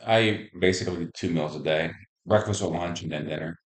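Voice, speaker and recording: Low-voiced man in an echoey room. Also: a little fast. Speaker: patient answering health questions. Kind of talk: question-and-answer clinical interview. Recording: roomy, echoing voice pickup.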